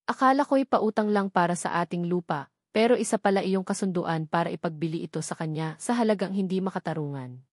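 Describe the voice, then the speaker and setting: A woman's voice: on the low side, fairly quick, dry recording, clean studio sound, lightly acted. A woman voicing a remorseful, weeping old farmer father who pleads with his daughter in an audio drama. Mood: insistent. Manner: pleading, guilt-ridden.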